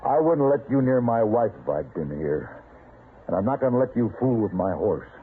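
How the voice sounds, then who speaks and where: An actor playing a stubborn, gruff rancher with a rural American accent in a radio western. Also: male voice, fairly low pitch, sing-song, normal speed. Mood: hostile. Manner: gruff, blunt. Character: bitter, stubborn, suspicious frontier horse rancher, a father. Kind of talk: old-time radio western drama acting. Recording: muffled, faintly noisy old radio recording.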